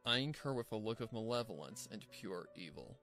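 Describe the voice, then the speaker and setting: A man's voice: fairly low pitch, lively intonation, normal speed, bright, forceful tone, faint music behind. A man reading scripted story narration as the narrator of a fan fiction tale.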